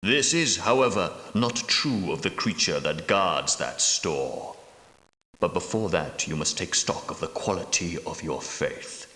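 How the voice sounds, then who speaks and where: Grave, echoing, low-voiced knight elder. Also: male voice, lively intonation, pushed and bright, heavily acted. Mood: solemn. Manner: grave, portentous, measured, preaching. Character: solemn, pious leader of ancient Grail knights. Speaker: knight leader and guide. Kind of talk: scripted video game dialogue, archaic formal speech. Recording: echoey hall with long reverb.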